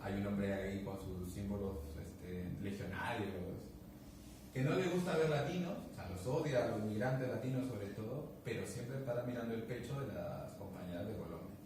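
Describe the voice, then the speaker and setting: A man's voice: lowish voice, moderate pace. A man in casual conversation, telling anecdotes and acting out the dialogue, with many restarts. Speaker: guest speaker. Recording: echoey room, distant mic, background noise.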